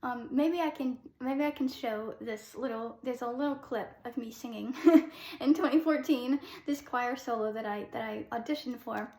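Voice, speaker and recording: A young adult woman, a classical soprano, giving a relaxed vocal lesson in a chatty way with many ums. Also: fairly high pitch, normal speed, reverberant.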